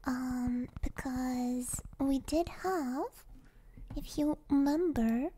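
A young woman, a native Russian speaker, chatting softly and hesitantly in a gentle, playful ASMR livestream style, often dropping into a whisper, close on the microphone. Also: high-pitched, drawn-out words. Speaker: ASMR streamer. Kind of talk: soft ASMR livestream chat. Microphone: close binaural microphone.

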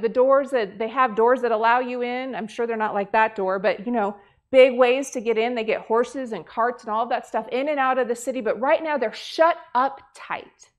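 Lively woman preaching in a church. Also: fairly high pitch. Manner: lively storytelling, acts out the characters' lines. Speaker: pastor. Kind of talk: conversational sermon. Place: church sanctuary, indoors.

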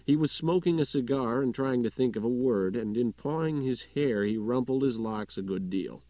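A man's voice: mid-range voice, normal speed, mellow, dry recording. A man reading a literary short story as an audiobook narrator, in scripted narration.

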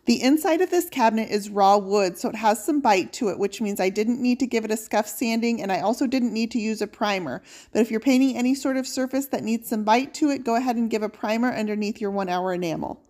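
A woman giving a steady, step-by-step instructional voice-over on painting furniture, upbeat about the products she uses. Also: a little high, fast talker, hardly any pauses. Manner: steady, explanatory step-by-step walkthrough. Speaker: furniture painting channel host.

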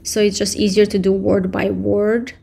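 A woman in her thirties giving a casual screen-share software tutorial indoors at her computer, explaining step by step with frequent fillers. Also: mid-range voice, level tone, moderate pace, vocal fry, slightly rough. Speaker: tutorial presenter.

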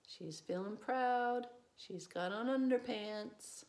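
A middle-aged woman reading a children's storybook aloud, adding gentle, reassuring asides in simple phrases for young children. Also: on the high side, slow, slight background noise. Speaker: preschool teacher reading a picture book aloud. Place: small indoor room with desk and shelves.

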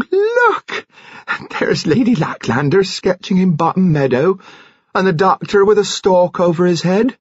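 A man voicing a flustered, warm-hearted village nurse woman in an audiobook, effusive and exclamatory. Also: lowish voice, slightly rough, no echo, highs cut off, heavily acted.

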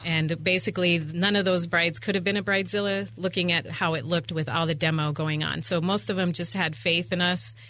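A woman's voice: lowish voice, fairly even intonation, a little fast, hardly any pauses, fairly bright tone, a little hiss or hum. A middle-aged woman chatting at length as a guest in a radio interview, talkative and full of anecdotes, on a studio microphone. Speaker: interview guest, event venue owner. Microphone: studio microphone on boom arm.

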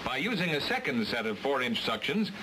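A man's voice: mid-range voice, very animated, brisk. A man giving scripted, matter-of-fact instructional narration for a training film. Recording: hissy old film transfer.

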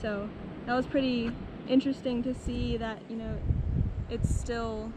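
A woman's voice: a little high, little pitch movement, measured pace. A young woman chatting on a kayak trip, full of "like" and "um", recorded on an action camera outdoors. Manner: chatty, lots of "like" and "um". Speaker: vlog co-host. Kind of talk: casual travel vlog. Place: kayak on open water outdoors, windy. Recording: heavy wind noise on action camera.

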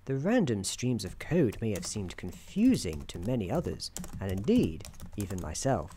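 A soft-spoken woman narrating a scripted ASMR roleplay in a measured, deliberate, theatrical storyteller manner. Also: extremely low pitch, sing-song. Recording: keyboard clicks and faint noise. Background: computer keyboard typing.

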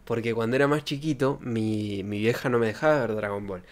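A young Argentine man talks casually on a livestream. His voice is medium-pitched and close to the mic, with a jokey, slangy, rambling delivery. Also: a little fast. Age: young adult. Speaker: streamer. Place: small bedroom streaming setup. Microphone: desk microphone with pop filter, close.

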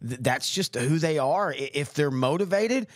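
A man's voice: a little high, expressive, moderate pace, no room sound, studio quality. A man co-hosting a sports show, casually breaking down games with emphatic repetition. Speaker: sports show co-host and analyst.

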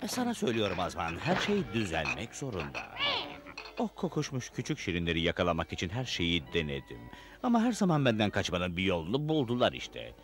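A man's voice: deep voice, huge pitch swings, brisk, exaggerated voice. A man playing a grumpy, scheming middle-aged evil wizard in a cartoon dub, whining and ranting theatrically. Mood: bitter ranting. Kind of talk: animated cartoon dub, theatrical villain acting. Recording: loud cartoon music behind.

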